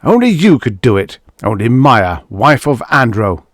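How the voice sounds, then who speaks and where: A man's voice: medium pitch, big pitch swings, unhurried, no echo, clean studio sound, voice pushed up. A man voicing a domineering, pompous diplomat husband in a story, with a contemptuous, commanding, scolding manner. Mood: furious.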